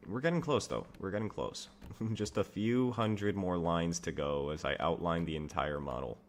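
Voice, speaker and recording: A man's voice: low-pitched, normal speed, slight background noise. A young man chatting casually on a live stream while he works, rambling through viewer questions with self-amused asides. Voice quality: says he has a loud voice. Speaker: game developer streamer.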